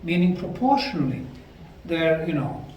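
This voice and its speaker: A man with a Bosnian accent giving a deliberate, emphatic lecture to a live audience. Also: fairly high pitch, big pitch swings, a little mellow, highs cut off. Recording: echoey room, mic far off, faint noise.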